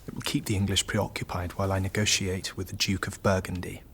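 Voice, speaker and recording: A man playing a calculating, patient French king in a historical audio drama, with a measured, condescending manner. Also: sits low, level tone, a bit bright, a little hiss or hum.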